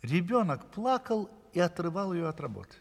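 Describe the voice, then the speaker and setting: A man telling a children's fairy tale as an expressive, theatrical narrator. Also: high voice, normal speed, creaky, big-room sound. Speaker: storyteller, narrator.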